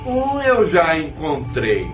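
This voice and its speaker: A vengeful spirit, a wronged father in an audio drama. The voice is high and drawn out, anguished and defiant. It rings with echo on a dull recording. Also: wildly swooping pitch, music in the background, heavily acted. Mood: bitter, vengeful. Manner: slow, anguished, defiant delivery.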